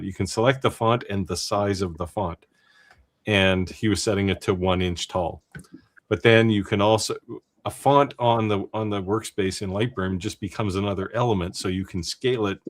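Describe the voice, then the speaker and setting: A man hosting a casual live maker chat, laughing as he explains software in a rambling way. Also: on the low side. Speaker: live show host.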